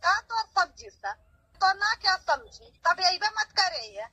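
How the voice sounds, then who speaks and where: A woman's voice: very high voice, very animated, measured pace, bright, forceful tone, dry recording. A middle-aged woman with a Bhojpuri accent, a mother scolding and brushing off the other person on a phone call. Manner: scolding, dismissive. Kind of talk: phone argument.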